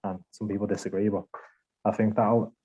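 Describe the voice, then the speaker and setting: Man, low-ish voice, warm and mellow, casual podcast panelist, rambling, self-deprecating. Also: level tone, brisk, husky, poor audio. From Liverpool, England. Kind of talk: casual gaming chat.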